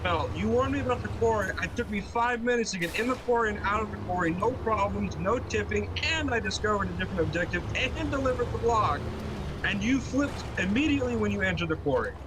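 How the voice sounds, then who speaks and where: A man with a fairly high, very animated voice trades casual banter while gaming. He needles and teases, swearing freely. There is clear noise and vehicle rumble behind him. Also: brisk. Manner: needling, teasing, foul-mouthed. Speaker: co-player on a game stream. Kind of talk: casual banter during gameplay. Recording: clear background noise, game vehicle sounds.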